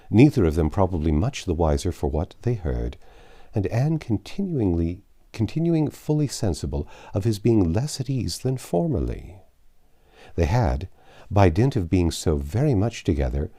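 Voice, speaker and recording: A man with a very low, mellow voice reads literary fiction aloud. His pitch moves widely as he tells the story, with measured, deliberate phrasing. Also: husky. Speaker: audiobook narrator. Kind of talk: literary novel reading, scripted narration.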